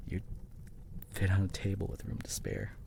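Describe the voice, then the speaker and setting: A man acting a loving, grieving husband and father in an emotional ASMR audio drama, halting and tender, often dropping toward a whisper. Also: sits low, very animated, creaky, noisy background, heavily acted. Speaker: audio roleplay performer.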